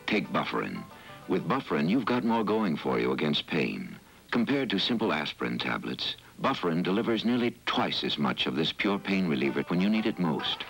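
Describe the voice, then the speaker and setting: A man's voice: a bass voice, creaky, fairly bright tone, poor audio. An American man reading a scripted 1960s television commercial as the announcer. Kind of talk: 1960s TV ad voice-over.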